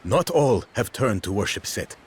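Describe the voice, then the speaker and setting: A deep, steady ally. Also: male voice, moderate pace, slight background noise. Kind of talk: game cutscene acting.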